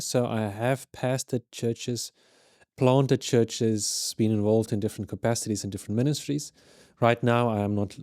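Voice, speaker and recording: A man in his thirties with a South African accent tells his story in an earnest, measured way, often restarting his sentences. His voice sits low and mellow. It is recorded dry, with no room echo. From Zimbabwe. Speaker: guest, ministry leader. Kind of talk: podcast interview. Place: studio.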